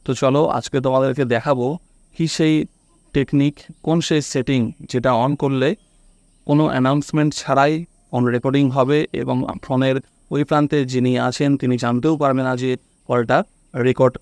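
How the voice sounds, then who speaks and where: A man with a medium-pitched voice, recorded dry and close. He walks through phone steps casually as a tutorial host, often checking that the listener is following along. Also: steady tone, normal speed, a little mellow, no echo.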